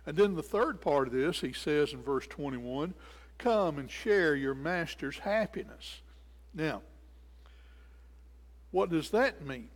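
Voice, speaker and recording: Older preacher in an echoing hall. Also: male voice, on the high side, expressive, takes it slowly, pauses often. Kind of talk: sermon, expository Bible teaching. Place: indoors in a church sanctuary at a lectern. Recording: reverberant church hall.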